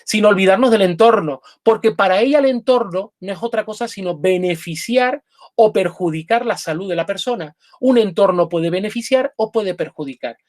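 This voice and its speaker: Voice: medium pitch, normal speed, darkish tone. A lecturer on nursing history giving a live public talk with slides in a pub. The speaker is earnest, restarts sentences often and leans on the same filler word again and again. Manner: earnest, repeats "directamente" often, frequent restarts.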